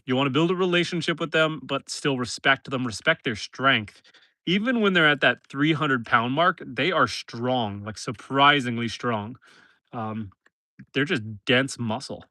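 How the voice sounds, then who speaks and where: A man's voice: mid-range voice, no echo. Stuffy-nosed man chatting on a homestead stream. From northern Canada. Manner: relaxed, chatty, talks to animals and viewers. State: stuffy nose. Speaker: homesteader livestreaming. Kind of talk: casual live stream chat. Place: outdoors in a forest pen.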